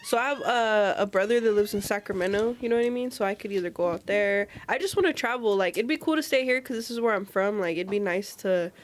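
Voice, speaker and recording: A woman's voice: medium pitch, fast, few pauses. A woman tattoo artist chatting on a podcast in a laid-back way, full of fillers like "you know what I mean.". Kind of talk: casual podcast chat.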